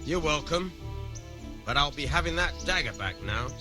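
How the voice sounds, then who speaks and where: An actor playing a plain-spoken, shady medieval village miller with a folksy, dry manner in a video game. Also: male voice, fairly high pitch, expressive, moderate pace, loud music behind the voice, lightly acted. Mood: approving. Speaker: game character, miller who teaches thieving. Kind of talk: acted game dialogue.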